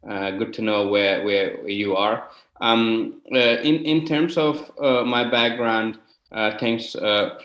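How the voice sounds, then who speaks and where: A forty-year-old man from Afghanistan speaking as an interview guest, giving a conversational account in a hesitant, halting way. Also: lowish voice, a bit bright. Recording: roomy, echoey sound.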